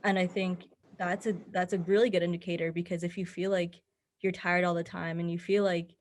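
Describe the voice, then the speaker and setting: Young woman with an even, lowish voice. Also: brisk, slight background noise. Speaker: podcast guest, mental health advocate. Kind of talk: conversational interview answers, giving advice.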